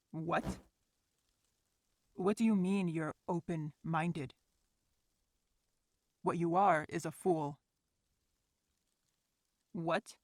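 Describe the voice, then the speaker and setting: A woman's voice: lowish voice, no echo. A woman playing a huge, menacing female dragon in its true form, scornful and imperious in an audio roleplay. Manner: slow, imperious, challenging.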